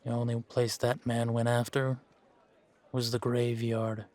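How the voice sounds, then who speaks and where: A man playing a gruff, world-weary fantasy monster hunter in an audio roleplay, wry and aloof, with a laconic, deadpan way of talking. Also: medium pitch, a little slow, lightly acted. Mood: detached, grim. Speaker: voice actor in fan-made audio roleplay. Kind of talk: slow in-character audio roleplay.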